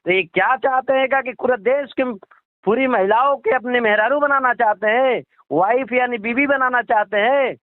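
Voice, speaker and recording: Male activist, high pitch, indignant and rhetorical, dry lo-fi call audio. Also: moderate pace, no echo. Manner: indignant, repetitive, rhetorical questioning. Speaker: political activist being interviewed. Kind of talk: heated political tirade in a phone interview. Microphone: phone call. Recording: muffled lo-fi phone call recording.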